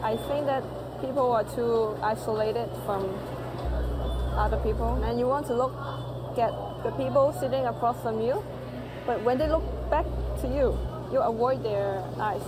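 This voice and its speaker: A woman speaking in a documentary interview in a quiet, wistful way. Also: fairly high pitch, normal speed, long pauses. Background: loud ambient noise.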